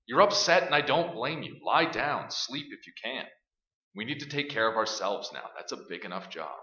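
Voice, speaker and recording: A man's voice: medium pitch, animated, moderate pace, pushed and bright. An actor playing a tough, practical, protective man who takes charge in a staged radio play. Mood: calming, firm. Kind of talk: radio drama acting. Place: stage performance in an echoey room. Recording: dull, echoey live stage recording.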